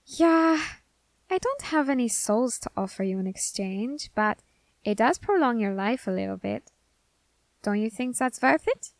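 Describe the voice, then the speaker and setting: A woman voicing a curious, androgynous grim reaper in an ASMR roleplay who questions a captive demon in a slow, teasing, softly whispered way. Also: on the high side, animated, creaky, dry recording.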